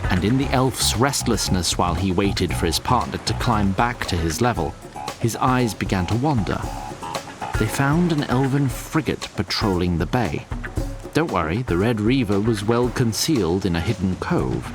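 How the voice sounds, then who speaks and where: A man's voice: fairly low pitch, animated, normal speed, over music. A man narrating a scripted audio drama in a wry, formal storybook manner, addressing the listener directly.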